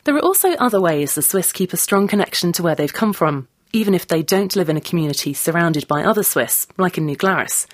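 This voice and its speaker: A woman's voice: lowish voice, expressive, a little fast, few pauses, no room sound, clean studio sound. A woman presenter delivering scripted narration and putting questions to a guest. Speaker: presenter and interviewer.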